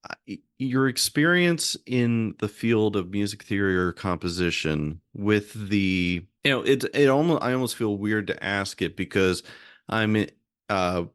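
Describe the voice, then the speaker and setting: Deep-voiced American man, rambling interviewer. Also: lively intonation, unhurried, fairly bright tone, clean tone, no room sound, clean studio sound. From southern United States. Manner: rambling, hesitant, self-correcting; extroverted. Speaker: podcast host, music theorist. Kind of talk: conversational interviewing.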